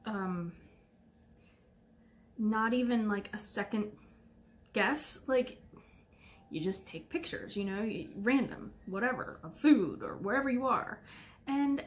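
A woman's voice: medium pitch, expressive, slow, long pauses. A woman of thirty-eight hosting her knitting podcast from her living room, chatting casually and rambling with lots of fillers and restarts. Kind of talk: casual rambling chat to camera. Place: living room at home, indoors.

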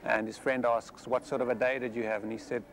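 Gravelly South African man, measured and hesitant. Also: mid-range voice, little pitch movement. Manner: measured, thoughtful, with many hesitations. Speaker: film director. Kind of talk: interview monologue. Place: outdoors on steps of a busy city square.

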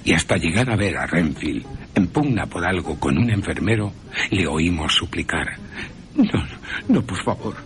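A man's voice: low-pitched, lively intonation, moderate pace, fairly bright tone. A man with an accent from Spain narrating a story for radio in a wry, theatrical, dramatic manner. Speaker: narrator and presenter.

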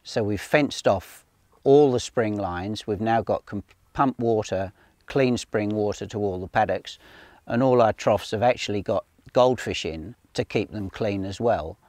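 An older Australian farmer with a very deep voice, speaking steadily and plainly. The sound is dry and close, picked up on a lapel mic outdoors. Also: male voice, unhurried, no room sound. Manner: plain, matter-of-fact, steady. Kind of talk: outdoor farm walk interview. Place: outdoors on a farm paddock by a ute.

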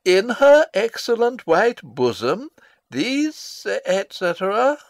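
Long-winded old courtier, higher-pitched, very animated, theatrical, self-satisfied, classical stage drama. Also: male voice, slow pace, no room sound, studio quality, exaggerated voice. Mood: theatrical, declaiming. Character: pompous, long-winded old male royal counsellor and father. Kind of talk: classical Shakespearean stage acting, dramatic reading.

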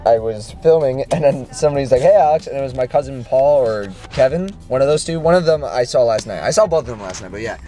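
A man's voice: medium pitch, fast, few pauses, mellow, faint music behind. A young man chatting casually to a handheld camera for his vlog, rambling with lots of fillers, first in his bedroom and then in his car. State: groggy and hoarse after waking. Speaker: vlogger. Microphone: handheld camera at arm's length.

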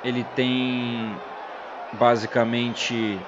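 A man's voice: mid-range voice, level tone, extremely slow. A man hosting and commentating casually over old fight footage, joking with his co-host. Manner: chatty, jokes with his co-host. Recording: dull sound with loud crowd behind. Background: crowd noise from fight footage.

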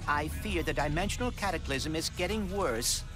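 A man playing a polite, calm little robot sidekick in a video game, speaking formally and precisely. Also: on the high side, moderate pace, music in the background, natural voice. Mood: grave. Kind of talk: video game cutscene acting.

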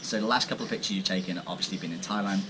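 A man's voice: low-pitched, very fast. A young man hosting a casual interview at an outdoor cafe, chatty and informal. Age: young adult. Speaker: host. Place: outdoor cafe terrace in Thailand. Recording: loud street noise behind. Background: traffic and street ambience.

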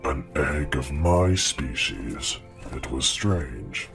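A man voicing a huge blue dragon in a fantasy audio drama, grave and brooding, with a slow rumbling delivery. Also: expressive, vocal fry, over music, heavily acted. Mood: brooding, solemn.

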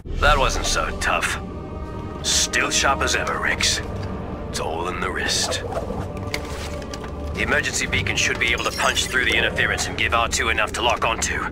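An actor playing a tough clone trooper officer in a video game, clipped and military in manner. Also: male voice, fairly low pitch, normal speed, long pauses, over loud music, natural voice. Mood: businesslike. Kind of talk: video game cutscene acting.

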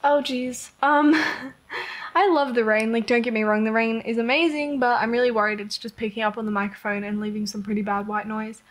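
A woman's voice: on the high side, steady tone, normal speed. A young Australian woman chatting casually over a life-simulation game, rambling with lots of "like" and small laughs. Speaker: Sims content creator. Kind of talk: casual chat over gameplay. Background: rain heard outside.

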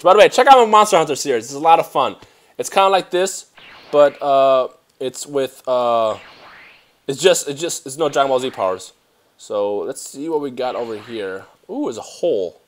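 A young man who grew up in Germany, chatting excitedly and rambling as he plays a video game, speaking into a headset mic at home. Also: medium pitch. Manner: excitable, rambling, talks to himself while playing. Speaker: gaming youtuber. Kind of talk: casual let's play commentary.

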